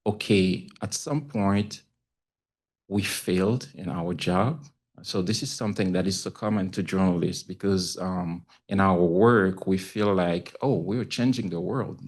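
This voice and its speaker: A man with a Haitian accent speaking as a panelist about his own experience, haltingly and searching for words. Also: deep voice, a little mellow, breathy edge. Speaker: panelist, community news correspondent. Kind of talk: panel talk, personal account.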